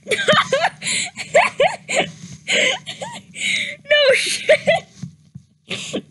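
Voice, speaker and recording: Super high voiced girl reading a story out loud for laughs, really slow, giggly and tripping on words. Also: a little hiss or hum. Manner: giggly, often breaking into helpless laughter; stumbles over misspelled words read literally. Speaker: reader of a fan fiction story. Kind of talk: comedic dramatic reading.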